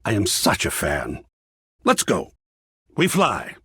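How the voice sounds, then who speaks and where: A man's voice: low-pitched, huge pitch swings, pushed and bright, breathy edge, no echo, studio quality, exaggerated voice. A man playing a flamboyant, cultured art curator in a video game, effusive and fussy, with grand theatrical phrasing. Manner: grandiloquent, dramatic drawn-out phrasing, swooping exclamations. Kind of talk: video game character voice lines.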